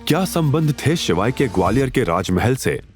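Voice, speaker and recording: A man tells a dramatic scripted story with very animated intonation. His delivery is theatrical and suspenseful, with music underneath. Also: mid-range voice, moderate pace. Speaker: audio drama narrator.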